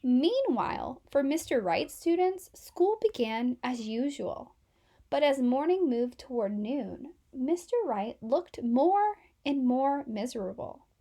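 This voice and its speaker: A woman reading a children's storybook aloud in an expressive storytelling style. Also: high voice, takes it slowly. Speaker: children's book reader.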